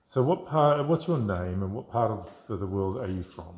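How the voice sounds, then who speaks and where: A man's voice: on the low side, expressive, moderate pace, darkish tone. A man asking interview questions in a friendly way, often repeating words.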